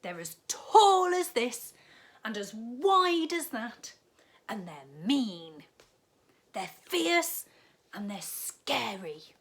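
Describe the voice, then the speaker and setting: A woman voicing alarmed, bossy family members in a picture book, with loud, drawn-out, emphatic warnings. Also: a little high, big pitch swings, voice pushed up, heavily acted. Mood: stern warning.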